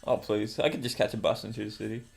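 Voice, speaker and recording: A man's voice: fairly low pitch, steady tone, quick pace, gravelly, soft background music. A young Australian man chatting casually as a gaming co-host, with a laid-back, slangy way of talking. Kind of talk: casual chat.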